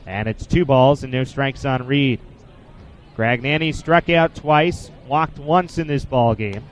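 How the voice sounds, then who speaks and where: A man in his twenties doing live baseball play-by-play for radio, in a measured, descriptive way with statistics between pitches. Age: 20s. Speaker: play-by-play sportscaster.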